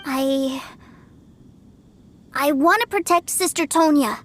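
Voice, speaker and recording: A tiny excitable boy from a game, super high voice, unhurried, wheedling, music behind. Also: slight background noise. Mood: earnest. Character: eager, stubborn little boy, adoring younger brother. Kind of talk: video game voice acting.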